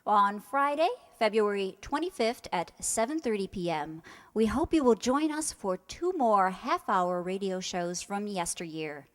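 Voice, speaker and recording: A woman with a medium-pitched voice gives an event announcement to an audience. Her delivery sounds scripted, as from a radio drama performer promoting a coming show. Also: lively intonation, measured pace, big-room sound.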